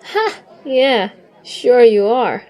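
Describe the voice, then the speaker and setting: A woman's voice: fairly high pitch, very animated, drawn-out words, a little mellow, heavily acted, poor audio. A woman voicing a caring female snow fox who runs a shelter, casual and concerned, in a comic dub. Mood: teasing.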